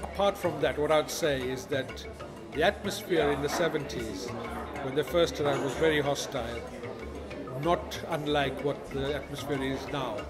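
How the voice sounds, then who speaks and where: A man's voice: fairly high pitch, moderate pace. A man in his sixties from Zimbabwe, a retired councillor, speaking in an informal interview. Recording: loud music and crowd chatter. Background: loud music, busy crowd.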